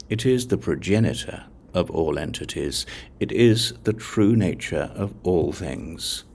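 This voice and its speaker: A man narrating an audiobook of scripture, reading scripted text in a measured, solemn way, phrase by phrase. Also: deep voice, creaky, darkish tone, a little hiss or hum.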